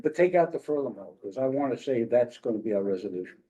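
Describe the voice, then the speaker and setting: A man's voice: mid-range voice, expressive, fast talker, mellow, rough recording. A man on a housing committee arguing his point bluntly during a debate. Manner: blunt, argumentative. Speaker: committee member. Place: community meeting room.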